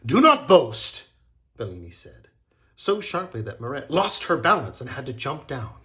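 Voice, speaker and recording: A man reading a children's story aloud as a teacher, expressively and deliberately, with dramatic pauses. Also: medium pitch, sing-song, bright, forceful tone. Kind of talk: expressive scripted storybook narration.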